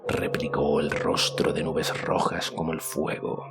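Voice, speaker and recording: A man's voice: extremely low pitch, little pitch movement, a little slow, gravelly. A man narrating a fantasy audiobook in a dramatic, scripted storytelling style. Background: loud music.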